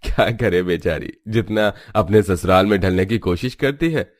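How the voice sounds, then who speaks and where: A man's voice: sits low, normal speed, no room sound, clean studio sound. A man narrating a Hindi family cartoon story in a dramatic storytelling style.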